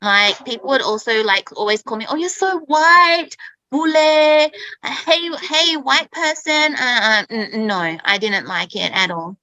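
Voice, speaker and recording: A woman in her thirties speaks in a chatty, conversational way, often checking in with "okay?". Her voice is bright and a little high. The sound is dry and close, with no room echo. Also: unhurried. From Australia. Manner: chatty, keeps adding "okay?". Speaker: speaker in an English-learning talk. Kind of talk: personal story told to learners.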